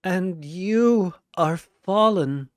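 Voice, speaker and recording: A man voicing a haughty, stony goddess queen who declaims slowly in a fantasy audio battle. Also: high-pitched, lively intonation, no echo, studio quality, pitched above the performer's own voice, exaggerated voice. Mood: cold contempt.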